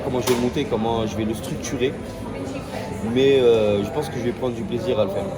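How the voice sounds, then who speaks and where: A man from France chatting casually in a travel vlog, hesitant and full of fillers and restarts. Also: mid-range voice. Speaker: vlogger. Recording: loud crowd noise behind.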